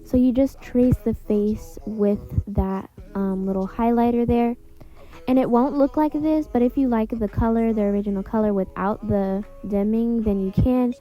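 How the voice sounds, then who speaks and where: A woman's voice: on the high side, fairly even intonation, normal speed, lo-fi sound, soft background music. A woman giving a casual, rambling step-by-step tutorial voice-over in an informal way. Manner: informal, rambling, repeats filler phrases. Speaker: video creator and host of a tutorial.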